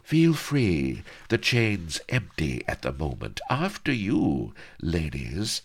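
A man's voice: low voice, huge pitch swings, takes it slowly, slightly rough, full character voice. A man voicing a swaggering, mocking pirate sea queen with a throaty, mock-archaic manner.

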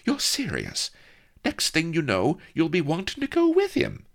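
A man's voice: fairly high pitch, wildly swooping pitch, unhurried, gravelly, voice pushed up, exaggerated voice. A man voicing a worldly, practical young woman attendant who talks in blunt, slangy wisecracks. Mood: wisecracking.

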